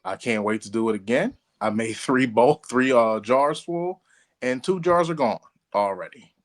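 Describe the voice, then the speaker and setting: A man's voice: medium pitch, animated. Guy cohosting a podcast, just chatting casual, jokey, swears a bit, cracks up easy. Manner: playful, profane, quick to crack up. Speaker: podcast co-host. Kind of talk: casual banter.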